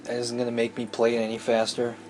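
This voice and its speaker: A man's voice: medium pitch, monotone, fast, darkish tone, slight background noise. A man reading a visual novel aloud as a let's play host, with casual, irreverent commentary. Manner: irreverent, sweary.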